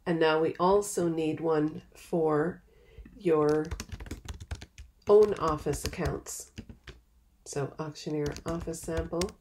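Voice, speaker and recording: A woman giving a step-by-step software tutorial over a screen recording, in a plain, patient manner. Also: low voice, slow pace, pauses often, a little hiss or hum, poor audio. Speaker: software trainer.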